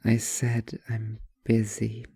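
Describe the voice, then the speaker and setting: Casting: a man playing a pretentious young woman writer for audio fiction, world-weary and curt, low register. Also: completely flat delivery, slow pace, vocal fry, warm tone, slightly rough, no echo, exaggerated voice. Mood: curt, defensive.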